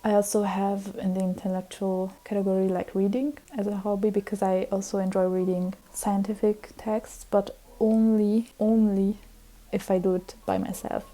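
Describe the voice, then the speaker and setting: Woman, twenties, Hungarian native, rambling casual vlog chat, very mellow dark tone. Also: medium pitch, level tone, normal speed. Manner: rambling, many fillers and restarts. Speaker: vlogger.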